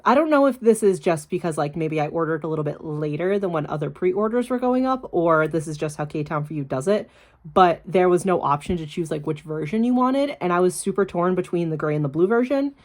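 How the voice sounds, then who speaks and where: A young woman with a hoarse voice, still recovering from being sick, sitting low in her range. She talks bluntly and casually, swearing freely and laughing often as she chats to her viewers. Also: lively intonation, brisk. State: hoarse from illness. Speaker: YouTuber. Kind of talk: casual unboxing vlog with urgent appeal.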